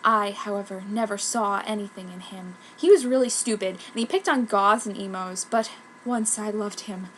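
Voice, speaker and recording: A woman's voice: mid-range voice, moderate pace, a bit bright, a little hiss or hum, natural voice, rough recording. A woman voicing a self-pitying, dramatic goth schoolgirl telling her own story in a fanfic reading. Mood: bitter, mournful. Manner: melodramatic. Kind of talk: mock-earnest first-person fanfic narration.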